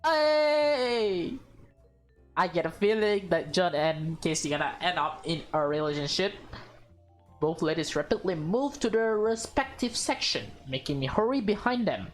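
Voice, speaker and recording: A woman doing a casual let's play, reading a visual novel aloud with expressive, reactive commentary. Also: on the low side, unhurried, soft background music. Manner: expressive, reactive, slips into character lines. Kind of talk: casual playthrough commentary mixed with dramatic reading.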